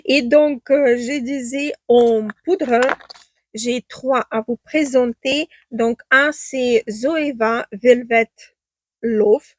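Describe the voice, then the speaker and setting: A woman's voice: fairly high pitch, very slow, slight background noise. A woman who is not a native speaker, reviewing makeup in a casual vlog, hesitating often with fillers and restarts and praising products with eager superlatives. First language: not French. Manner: enthusiastic superlatives. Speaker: beauty vlogger. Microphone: own microphone, at times off.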